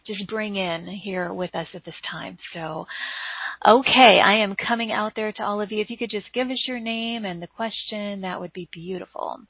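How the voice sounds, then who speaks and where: A woman hosting a call-in show, speaking gently with frequent ums as she takes callers. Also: medium pitch, normal speed, breathy edge, no room sound. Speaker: show host taking callers. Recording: dry phone-line audio.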